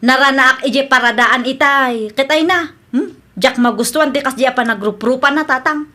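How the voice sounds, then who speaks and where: High-pitched teasing daughter, acted by a woman. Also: normal speed, sharp tone. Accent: Filipino. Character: teasing unmarried daughter chatting with her father. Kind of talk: comedic radio drama acting.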